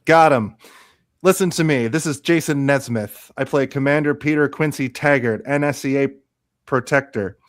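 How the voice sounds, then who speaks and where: A man's voice: medium pitch, normal speed, close to an everyday voice. A man playing a confident actor-commander in trouble in a live radio play, speaking directly and with urgency. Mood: urgent.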